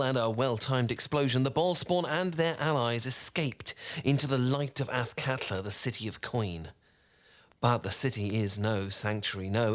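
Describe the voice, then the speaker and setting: A man playing a charming, learned wizard who tells a bedtime story in a soft, velvety, theatrical and slightly pompous voice, in a soothing fan roleplay. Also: medium pitch, lightly acted. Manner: theatrical, eloquent, unhurried, gently pompous.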